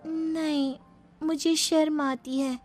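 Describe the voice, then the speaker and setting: A woman's voice: sits high, level tone, slow pace, darkish tone, soft background music, pitched above the performer's own voice. A woman playing a young bride in a cartoon story, coy and cheekily innocent as she answers back. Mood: shy. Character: young bride, shy yet cheeky and defensive.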